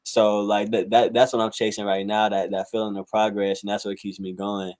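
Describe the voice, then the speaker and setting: A man in his twenties from South Carolina, a musician talking casually in an interview, relaxed and full of fillers. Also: lowish voice, brisk, no room sound. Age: 20s. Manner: laid-back, many fillers like "um", "like" and "bro". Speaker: musician guest.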